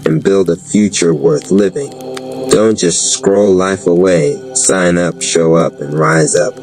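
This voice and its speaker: A man's voice: low voice, lively intonation, slow pace, music in the background, full character voice. A man voicing a fiery professor in a narrated story, exhorting his class in sermon-like, repetitive rhetoric. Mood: urgent, exhorting. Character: male professor, fiery, rousing, preacher-like motivator. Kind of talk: quoted speech in narrated story.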